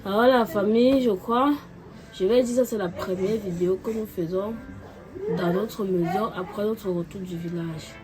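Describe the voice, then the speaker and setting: Congested woman vlogger, talking casually over clear background music. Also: mid-range voice, moderate pace, rough recording. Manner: chatty, repeatedly addresses viewers as family. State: congested with a cold and cough. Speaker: vlogger mother. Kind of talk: casual family vlog talk.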